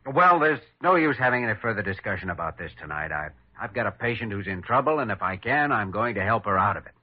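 A man's voice: fairly low pitch, very animated, fast talker, breathy edge. A man playing an earnest, stubborn young doctor in an old-time radio drama, plainspoken and firm. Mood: determined. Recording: muffled vintage radio broadcast.

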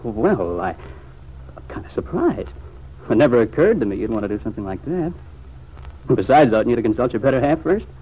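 Young american guy, easygoing teasing cousin, very animated pitch, muffled old radio show. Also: a little fast, long pauses, husky. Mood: surprised, amused. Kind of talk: old-time radio serial acting. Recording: muffled, noisy vintage radio recording.

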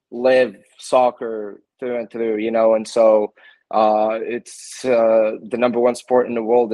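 A man's voice: on the low side, a little mellow, no echo, poor audio. A man from Morocco, a soccer coach, answering interview questions casually and linking his thoughts with "and so". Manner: strings thoughts together with "and so".

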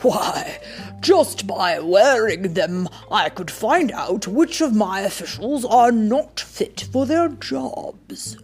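A woman voicing vain, anxious storybook men, an emperor and his flustered officials, in a theatrical, hesitant, drawn-out way. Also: mid-range voice, animated, a little mellow, faint music behind. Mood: eager, scheming.

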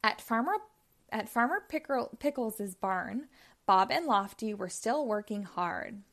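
A woman reading a story aloud for young children in a deliberate storytelling style. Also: on the high side, animated, a bit bright.

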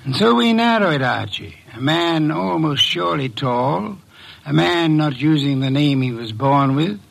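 A middle-aged private investigator from a vintage radio drama, precise and commanding. His voice sits high and bright, with a gravelly, slightly husky edge. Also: animated, unhurried, exaggerated voice, poor audio. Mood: measured. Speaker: detective. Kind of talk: 1950s radio drama acting.

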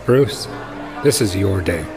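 An actor playing an earnest, noble male superhero in an audio drama, speaking in a measured, steady way. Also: low voice, music in the background, crowd noise. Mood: warm, guarded.

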